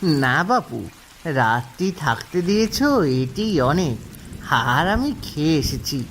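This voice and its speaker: A woman's voice: low-pitched, very animated, slow, heavily acted. A woman voicing a humble, hesitant old man, a worried stranger in a cartoon. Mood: polite refusal. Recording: faint rain noise behind voice.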